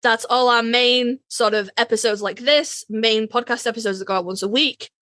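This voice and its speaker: A British woman with a somewhat high voice chats as a podcast co-host. Her delivery is informal and peppered with swearing, and the sound is dry with no room echo. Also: normal speed, sharp tone. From United Kingdom. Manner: casually sweary. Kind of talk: casual fandom podcast chat.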